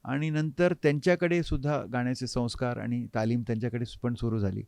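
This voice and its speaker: Man in his fifties, smooth clear voice, halting reflective storytelling, dry studio sound. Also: mid-range voice, steady tone, a little mellow, dry recording. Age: 50s. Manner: hesitant, pausing mid-sentence to find words. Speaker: interview guest, harmonium player. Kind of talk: reflective reminiscing in an interview. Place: recording studio.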